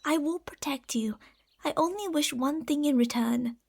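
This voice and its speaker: A woman playing a possessive, tender but deceitful lamia in an ASMR roleplay, speaking softly and formally, at times slipping into whispers. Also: high voice, normal speed, slight background noise. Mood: devoted, pleading. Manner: soft, formal, soothing speech with breathy whispers.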